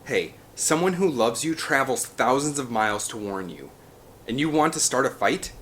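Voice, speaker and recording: A man playing an exasperated, protective father in an audiobook, urgent and forceful. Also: mid-range voice, animated, moderate pace, a little hiss or hum, voice pushed up, close to an everyday voice. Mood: exasperated pleading. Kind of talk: audiobook character dialogue.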